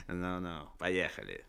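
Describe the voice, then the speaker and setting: A man's voice: low voice, creaky, heavily acted. An actor playing a secretive, jaunty chauffeur who teases his young passengers, in a Soviet radio play. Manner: jaunty, teasing, lively.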